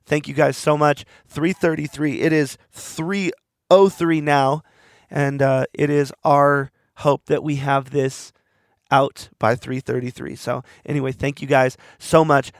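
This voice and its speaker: Hoarse man, gravelly voice, rambling earnest podcast host, dry close sound. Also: mid-range voice, steady tone, unhurried, no echo. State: losing his voice. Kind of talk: reflective solo monologue.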